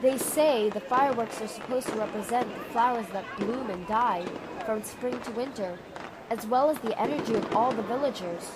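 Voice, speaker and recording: A woman playing a proud young warrior general in an audio drama, commanding and quick to fluster, with music behind her. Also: on the high side, a loud crowd, heavy background noise, lightly acted. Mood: calm, teasing. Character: proud young female general, warrior hero, hurt sister. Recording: background music under the voice.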